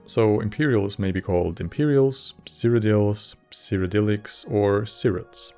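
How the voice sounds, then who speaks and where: A man giving scripted narration for a game lore video in a measured, slightly wry way. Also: fairly low pitch, warm tone, smooth, soft background music.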